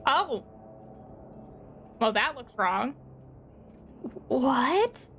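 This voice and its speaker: A woman's voice: high voice, sing-song, drawn-out words, a bit bright, a little hiss or hum. A woman streamer giving casual commentary as she plays a game, thinking aloud in a hesitant way.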